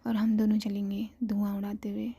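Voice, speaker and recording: A woman voicing a playful, teasing young woman friend in a soft whisper. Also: mid-range voice, monotone, a little fast, breathy edge, no echo.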